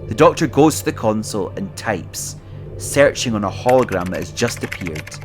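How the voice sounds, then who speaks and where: A man's voice: on the low side, lively intonation, normal speed, music in the background. A man reading scripted narration for an audio drama.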